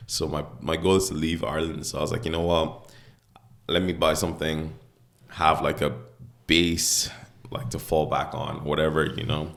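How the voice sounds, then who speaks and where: Young male podcast co-host, very low and gravelly, rambling casual delivery, close-miked in a kitchen. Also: level tone, normal speed, a bit bright. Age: 20s. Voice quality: deep voice. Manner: rambling, full of "like" and "you know". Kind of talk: casual chat. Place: home kitchen. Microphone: speaking close into a mic.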